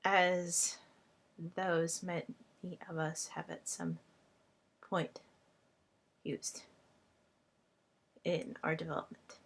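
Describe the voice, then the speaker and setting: Woman, native Hungarian speaker, language tutor; unhurried vlog monologue, halting short phrases. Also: lowish voice, little pitch movement, gravelly.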